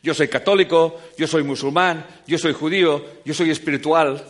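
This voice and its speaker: Animated man lecturing an audience. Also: a little high, moderate pace. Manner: animated, joking, swings between loud and quiet. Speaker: speaker giving a talk.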